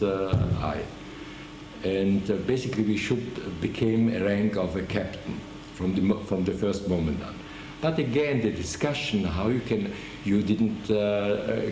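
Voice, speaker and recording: Older man recalling, halting and echoey. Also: lowish voice, dark tone, a little hiss or hum. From Germany. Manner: halting, many restarts and fillers. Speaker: veteran interviewee. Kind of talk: oral history interview.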